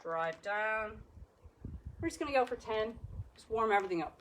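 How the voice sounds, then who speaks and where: A woman's voice: a little high, lively intonation, moderate pace, fairly bright tone, a little hiss or hum. A woman with an Irish accent teaching a live exercise class, cueing each movement and counting reps with encouragement. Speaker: fitness instructor.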